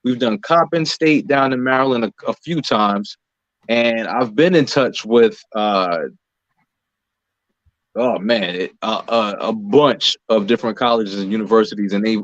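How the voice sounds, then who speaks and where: A man's voice: on the low side, normal speed. An American man talking casually and explaining things as an interview guest, in a conversational way. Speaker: playwright and producer being interviewed. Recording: roomy echo, dull lo-fi sound.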